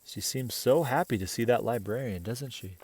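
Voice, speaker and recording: A man reading a children's picture book aloud as an expressive storyteller, pausing for casual asides and questions to the listener. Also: mid-range voice, slightly rough.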